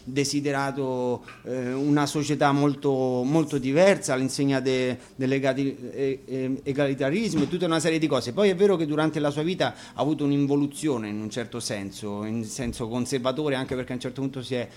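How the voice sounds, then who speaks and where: A man's voice: mid-range voice, fairly even intonation, a little fast, hardly any pauses, darkish tone. A man, a musician giving informal, hesitant and digressive explanations between songs at an acoustic concert. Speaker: musician and band frontman introducing songs. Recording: live radio concert with instruments.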